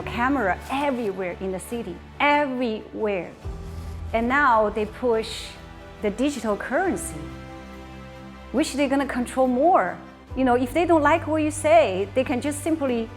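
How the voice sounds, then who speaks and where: A woman talks in a high voice. Her delivery is chatty and loose, full of casual fillers. Faint music sits underneath. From China. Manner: chatty, many fillers like "like" and "you know". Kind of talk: personal testimony to camera.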